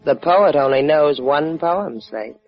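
A woman's voice: sits low, animated, slow pace, faint music behind. A sardonic American lady sailor in a 1950s radio play. She is dry, clipped and teasing, heard on a dull, lo-fi vintage recording. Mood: dry, mocking. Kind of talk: 1950s radio drama acting.

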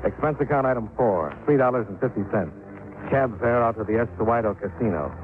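An actor playing a hard-boiled insurance investigator with a dry, sardonic, clipped manner in a 1950s radio drama. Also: male voice, mid-range voice, expressive, a little fast, noisy background, close to an everyday voice. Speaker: lead actor, narrating in character. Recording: thin, tinny 1950s radio recording.

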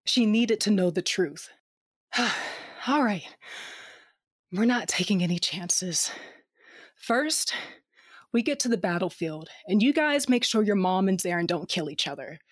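A woman's voice: medium pitch, animated, unhurried, pauses often, no echo. A woman narrating and acting a space adventure audio drama in the first person, with a dry, wry and determined manner. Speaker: narrator and lead character.

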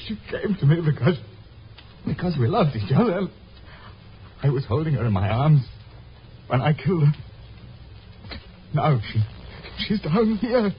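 Haunted mentalist with a broken memory: high, halting, slow delivery, noisy old radio sound. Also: male voice, highs cut off, heavily acted. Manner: halting, repetitive, melodramatic. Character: anguished, guilt-haunted stage mentalist with shattered memory. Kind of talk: 1950s radio drama acting.

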